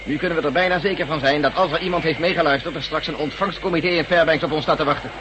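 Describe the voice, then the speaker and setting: Radio-play general male actor, stern and formal, somewhat high voice, noisy recording. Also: racing, natural voice. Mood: wary, critical. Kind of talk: Dutch radio drama acting.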